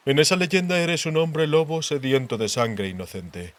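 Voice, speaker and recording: A man voicing a grave, worldly king in an audiobook, speaking in a measured, deliberate, cautionary way. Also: a little high, no echo, natural voice. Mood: grave warning. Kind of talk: audiobook character dialogue.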